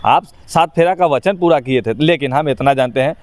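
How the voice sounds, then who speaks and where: A man's voice: on the high side, normal speed, darkish tone, dry recording. A man with a Bihari accent giving his opinions in an informal interview, speaking emphatically in colloquial, rustic phrasing. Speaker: interview guest, actor.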